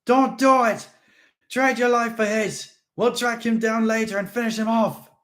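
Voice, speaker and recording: A man reading aloud, voicing a rough, hot-headed male vampire who shouts. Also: very high voice, steady tone, unhurried, voice pushed up. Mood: pleading.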